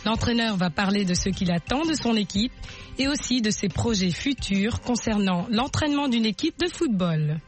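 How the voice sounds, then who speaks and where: A woman's voice: mid-range voice, animated, brisk, soft background music. A woman presenting a scripted bilingual language lesson in an instructional manner. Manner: instructional, asks for repetition.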